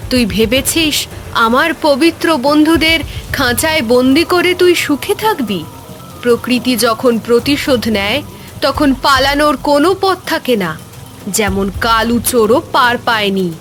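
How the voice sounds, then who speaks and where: A woman's voice: high voice, animated, normal speed, fairly bright tone, soft background music. A woman voicing a wrathful magical swan maiden in a cartoon fairy tale, speaking in a stern, declamatory, menacing way.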